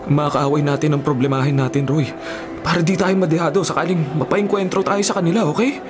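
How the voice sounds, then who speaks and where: A man's voice: a little high, steady tone, a little fast, natural voice. An actor playing a calculating, vengeful new male prisoner with a persuasive, pragmatic manner in a radio drama. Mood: cautioning. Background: music.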